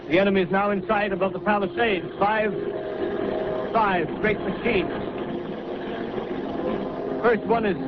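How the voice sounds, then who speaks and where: An actor playing a grim radio announcer in a 1930s radio drama, delivering halting bulletins. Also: male voice, high voice. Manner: grave, halting bulletin reports. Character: grim male radio announcer reporting the end. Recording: lo-fi old radio broadcast with loud noise.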